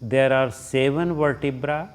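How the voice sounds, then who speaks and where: A man with an Indian accent leading a guided relaxation as a yoga teacher, giving short instructions with long pauses between them. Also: mid-range voice, smooth.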